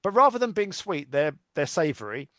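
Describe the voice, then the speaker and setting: Middle-aged British male podcast guest, fairly high voice, dry close sound, blunt self-mocking chat. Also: big pitch swings, normal speed, a bit bright, no room sound. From United Kingdom. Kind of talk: casual chat.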